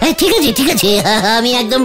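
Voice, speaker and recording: An actor voicing an excitable, boastful middle-aged village man in a comic cartoon, blustering with bits of broken English and Hindi. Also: high-pitched, a little fast, music in the background. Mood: boastful, excited. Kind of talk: exaggerated cartoon voice acting.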